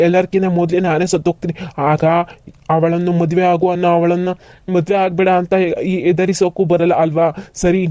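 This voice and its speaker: A man's voice: high-pitched, warm tone, no room sound, lightly acted. A man voicing a desperate young man in love who pleads and complains in a cartoon. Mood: resigned. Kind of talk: cartoon voice acting.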